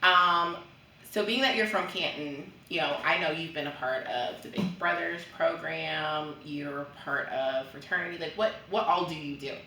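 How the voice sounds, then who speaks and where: A woman's voice: sits low, moderate pace, pushed and bright, a little hiss or hum. A woman hosting a casual interview, upbeat and chatty, with frequent short affirmations. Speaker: host. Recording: echoey room from a distance.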